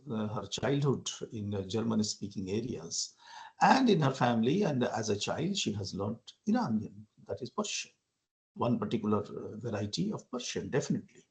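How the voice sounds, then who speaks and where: Gravelly-voiced academic lecturing. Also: male voice, mid-range voice. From India. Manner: explanatory, poses rhetorical questions, frequent "uh" fillers. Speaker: university lecturer.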